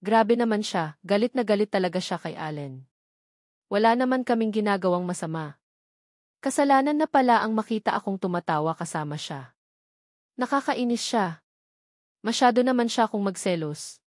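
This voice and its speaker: A woman's voice: mid-range voice, animated, moderate pace, pauses often, no echo, clean studio sound. A woman with a Filipino accent reading a first-person novel aloud as a scripted narration. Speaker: audiobook narrator.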